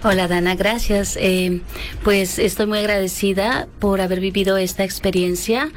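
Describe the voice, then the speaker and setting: A woman from the Mexico-Guatemala border who grew up in Guatemala, answering interview questions calmly and reflectively, with frequent hesitations. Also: mid-range voice, moderate pace, a little hiss or hum. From Mexico-Guatemala border, raised in Guatemala. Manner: calm, reflective, many hesitations. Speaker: guest, wellness facilitator.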